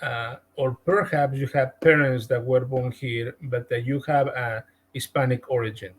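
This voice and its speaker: A man with a Spanish-speaking Latin American accent, a real estate agent guest telling a story in an animated podcast interview. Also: mid-range voice, moderate pace. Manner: animated, retells conversations with emphasis.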